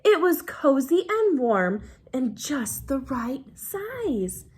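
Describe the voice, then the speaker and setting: A woman reading a children's storybook aloud like a teacher at storytime, expressive and engaging young listeners. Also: high voice, slow pace. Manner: expressive, drops to hushed excited asides with audible gasps.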